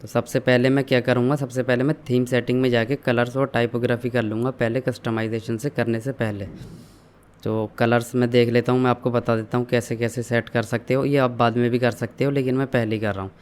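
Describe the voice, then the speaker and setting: A young man calmly walking viewers through an online store setup, step by step, mixing English terms into his explanations. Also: mid-range voice, brisk, smooth. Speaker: online tutorial instructor. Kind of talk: screen-share software walkthrough.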